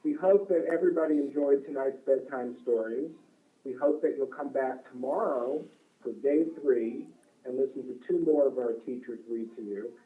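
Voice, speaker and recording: A man's voice: medium pitch, moderate pace, phone-quality sound. A man hosting a live online story broadcast for children, addressing the young listeners directly.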